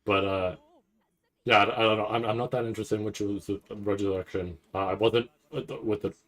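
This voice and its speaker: A man doing casual livestream reaction commentary, rambling and stammering over repeated words with a nervous, excitable manner. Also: fairly low pitch, a little fast. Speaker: streamer reacting to a live anime event.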